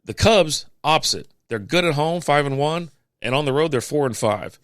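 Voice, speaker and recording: A man hosting a podcast, reading out sports standings in a casual, chatty way with offhand quips, his voice going hoarse. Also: on the high side, animated, normal speed, dry recording, clean studio sound. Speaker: podcast host.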